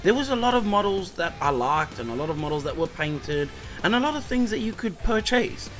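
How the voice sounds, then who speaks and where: Man, thirties, easygoing rambling vlog narration, slightly high voice, soft music underneath. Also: sing-song, brisk. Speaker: vlogger and hobby shop owner. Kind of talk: casual vlog voice-over. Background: background music.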